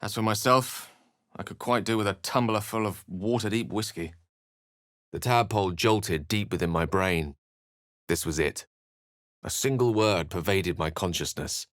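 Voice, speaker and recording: An actor playing a learned, courteous and wry wizard with a touch of melancholy, speaking in articulate, theatrical phrases for a video game. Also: male voice, lowish voice, normal speed, a bit bright, no echo, studio quality, lightly acted. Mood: reflective. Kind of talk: video game character lines and narration.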